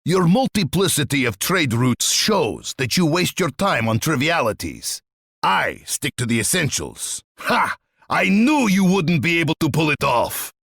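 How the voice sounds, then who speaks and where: A male actor playing a ruthless, boastful warlord tsar in a strategy game, with a gravelly, husky voice and a pompous, menacing, declamatory delivery. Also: fairly high pitch, sing-song, unhurried, a bit bright, no room sound, clean studio sound, full character voice. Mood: scornful.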